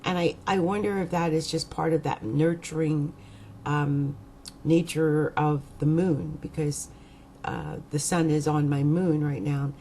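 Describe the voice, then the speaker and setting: A middle-aged woman astrologer with a croaky voice, rambling hesitantly in a casual vlog with many fillers. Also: deep voice, steady tone, a little slow, warm tone, slight background noise.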